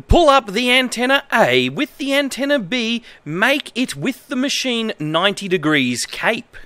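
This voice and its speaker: An Australian man in his thirties reading aloud on a livestream in a halting, exaggerated way, with incredulous asides. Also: very high voice, sing-song, pushed and bright, no echo. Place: indoor home streaming setup.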